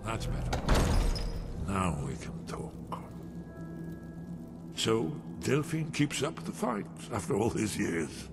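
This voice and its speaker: Agitated old recluse, voice swooping. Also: male voice, on the low side, sing-song, takes it slowly, creaky, pauses often, exaggerated voice. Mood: relieved, weary. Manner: agitated, dramatic, exasperated. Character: paranoid, weary old male recluse in hiding. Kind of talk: fantasy video game voice acting. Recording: loud music behind the voice.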